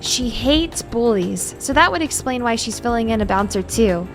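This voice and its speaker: A woman playing a reserved, bookish young woman in an audio drama, polite and measured in dialogue and narration. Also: fairly high pitch, lively intonation. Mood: reflective narration. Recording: music behind the voice.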